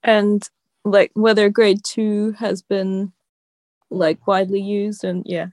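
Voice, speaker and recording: A woman's voice: medium pitch, level tone, slow, a little mellow, no echo. A woman in the audience asking a tentative question full of fillers.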